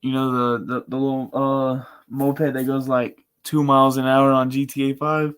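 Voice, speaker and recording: A man with a monotone, chill voice, rambling casually and slangily as a live streamer. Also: mid-range voice, moderate pace, smooth. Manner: laid-back, chill. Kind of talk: casual livestream chat.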